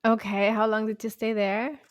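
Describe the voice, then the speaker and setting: A woman's voice: fairly high pitch, level tone, normal speed, no room sound, clean studio sound. A woman with German as her first language hosts a podcast interview in a casual, chatty way, often stalling with fillers and restarting her questions. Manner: hesitant, with many fillers and restarts. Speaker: podcast host.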